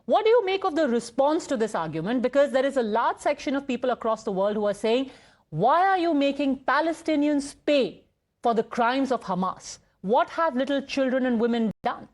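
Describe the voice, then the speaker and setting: Woman, TV news anchor, slightly high voice, measured, formal questions. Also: lively intonation, a little mellow. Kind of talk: TV news interview.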